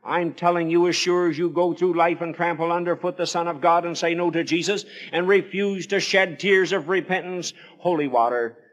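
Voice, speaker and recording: A man's voice: fairly high pitch, steady tone, moderate pace, few pauses, highs cut off. A man preaching on the radio in a solemn, pleading, theatrical manner, telling Bible stories with formal, old-fashioned scriptural phrasing. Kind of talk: dramatic evangelistic sermon storytelling.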